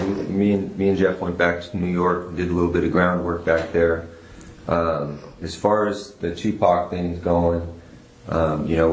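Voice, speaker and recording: An American man working as a detective, briefing and questioning in a halting, low-key, casual way. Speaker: police detective. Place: indoor interview room. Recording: echoey room recording, faint noise.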